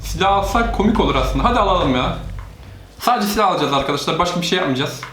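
A woman's voice: on the low side, expressive, very fast, a bit bright. A woman casually commentating on a video game as she plays with a child, often prompting with questions. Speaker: gaming video host playing with a child. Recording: roomy echo, faint background noise.

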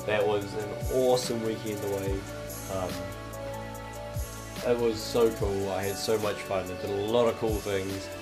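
A young man, croaky with a cold, chatting upbeat to camera in his living room, filming at arm's length. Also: fairly low pitch, fairly even intonation, unhurried, over music. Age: young adult. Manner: chatty, upbeat, filler-heavy. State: hoarse with a cold. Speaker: vlogger. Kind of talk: casual vlog chat to camera. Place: living room at home, indoors.

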